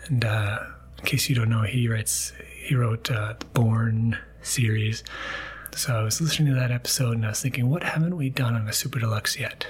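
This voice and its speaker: A man giving a gentle, unhurried, casual introduction as the host of a podcast. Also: medium pitch, steady tone, husky.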